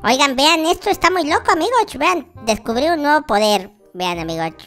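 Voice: sits high, expressive, normal speed, fairly bright tone, faint music behind. A performer voicing a whiny, naive baby boy in a Minecraft roleplay, with childish wording, exclamations. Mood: excited, boastful. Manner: childish diminutives, exclaiming, addresses viewers constantly. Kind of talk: in-character Minecraft roleplay commentary.

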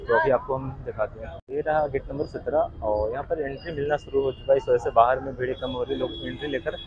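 A man's voice: medium pitch, fairly even intonation, quick pace. A young man in his twenties chatting casually as a vlogger, filming himself on a phone held at arm's length. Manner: chatty, repetitive, walks while filming. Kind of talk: casual vlog narration. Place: outdoors in city streets and a busy restaurant. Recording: dull lo-fi phone audio with crowd. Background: crowd chatter.

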